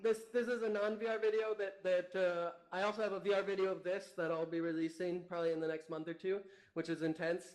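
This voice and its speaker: A young man from Canada, guesting on a talk show by remote link, chatty and quick to laugh. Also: sits high, little pitch movement, rough recording. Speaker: guest, company founder. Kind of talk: casual interview chat. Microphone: remote call.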